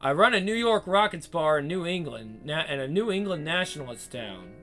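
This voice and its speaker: A man voicing a gruff, proud older bar owner who talks loud and blunt, reading a visual novel. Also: a little high, lively intonation, a little fast, faint music behind.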